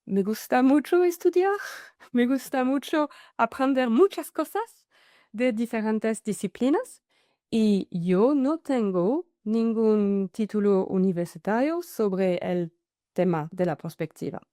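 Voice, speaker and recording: A woman with a French accent gives a masterclass in Spanish over a video call, which is not her first language. Her delivery is slow and halting, often filled with "so" and "am". Her voice is mellow and the sound is dry and close. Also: fairly high pitch, expressive, no room sound. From Paris, France. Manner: hesitant, with many "am" and "so" fillers. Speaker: guest speaker, consultant. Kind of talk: online masterclass presentation.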